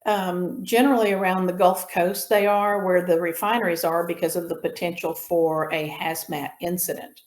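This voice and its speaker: A woman giving an informal slide lecture as an instructor, explaining in a measured way with pauses mid-sentence. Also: fairly low pitch, flat delivery. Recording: roomy echo on the voice.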